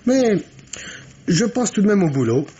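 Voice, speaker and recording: Eager-to-please police sidekick in an old radio whodunit, bouncy intonation, casual, muffled with faint noise. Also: male voice, high voice, big pitch swings, unhurried, dark tone. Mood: sheepish. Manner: chuckling, hesitant, informal. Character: deferential, easygoing police assistant who likes luxury. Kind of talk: vintage French radio mystery acting. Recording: dull, noisy old radio recording.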